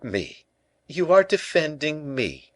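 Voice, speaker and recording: A man's voice: fairly high pitch, sing-song, slow pace, a bit bright, dry recording, voice pushed up, full character voice. A man voicing a proud, hot-tempered young king in an audiobook, imperious and theatrical. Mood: imperious anger.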